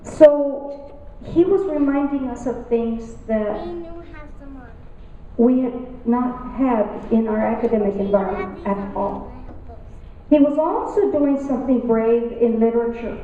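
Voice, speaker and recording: A woman's voice: fairly high pitch, a little slow, long pauses, narrow, tinny audio. Woman speaking in a distant echoey hall. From West Side of San Antonio, Texas. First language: Tex-Mex. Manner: rhythmic, repetitive oratory with emphatic rises. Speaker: speaker introducing a poet. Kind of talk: public introduction speech. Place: university lecture hall.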